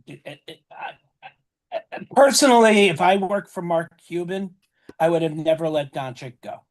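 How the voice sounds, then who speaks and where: Chatty man talking sports, hoarse edge. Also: fairly high pitch, normal speed. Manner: rambling, self-correcting, chatty. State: voice going hoarse. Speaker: podcast co-host. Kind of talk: casual sports talk.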